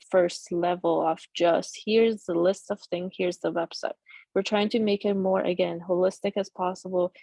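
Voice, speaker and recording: A middle-aged woman whose first language is Persian, casually walking through a software demo on a video call with many fillers and restarts. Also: on the low side, no echo. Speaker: presenter demoing a chatbot tool.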